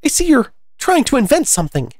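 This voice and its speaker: A woman's voice: high-pitched, huge pitch swings, brisk, a little mellow, no echo, clean studio sound, voice pushed up, full character voice. A woman voicing a frantic, scolding mother pigeon from a storybook, with desperate, shrieking complaints. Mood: scornful. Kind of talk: storybook character voice.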